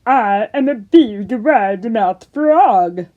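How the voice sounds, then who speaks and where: A woman voicing a brash, boastful big-mouthed frog puppet for a children's storytime, loud and drawn out. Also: on the high side, expressive, narrow, tinny audio. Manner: loud, drawn-out, shouting questions.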